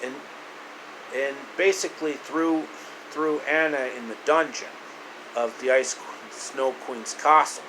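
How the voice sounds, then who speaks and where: A man telling a story in improvised narration, halting and repeating his phrases as he goes. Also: mid-range voice. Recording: loud steady room hum and hiss.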